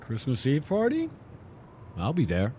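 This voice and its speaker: Guy acting easygoing scientist in audio drama, wry, animated, echo and background noise. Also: mid-range voice, a little slow. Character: male scientist, wry, easygoing team member. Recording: echoey, noisy ambience.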